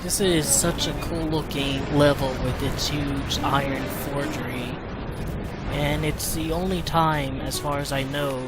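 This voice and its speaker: A man's voice: a little high, fairly even intonation, measured pace, heavy background noise. A man hosting a gaming video, giving casual, wry, joking commentary as he plays. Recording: loud game music behind the voice.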